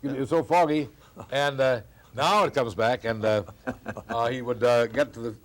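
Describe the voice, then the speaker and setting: Older American male, mid-pitched, veteran announcer recalling old days in a halting, searching way. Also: fairly even intonation. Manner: halting, searching recollection with throat-clearing. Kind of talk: reminiscing in a group conversation.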